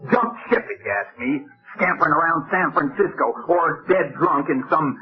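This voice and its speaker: An actor playing a boastful, impatient fishing boat captain who blusters through an old radio drama. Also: male voice, on the high side, normal speed, lightly acted. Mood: blustery, impatient. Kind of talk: mid-century radio drama acting. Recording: muffled old radio recording.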